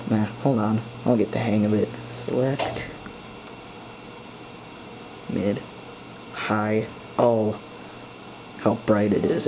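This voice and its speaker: A man thinking aloud as he sets up a device at his desk, muttering to himself while pressing buttons. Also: on the low side. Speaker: hobbyist setting up a device. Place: indoors at a desk. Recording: dull lo-fi camera audio with faint hum.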